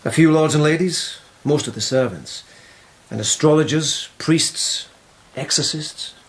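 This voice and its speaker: A wry, probing court investigator. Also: male voice, on the high side, measured pace. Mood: insinuating. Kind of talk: radio period drama acting.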